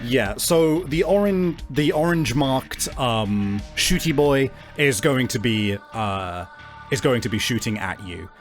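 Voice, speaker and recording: A man acting as game master, improvising narration and rulings for a tabletop game in deliberate, hesitant phrases. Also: medium pitch, expressive. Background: faint music.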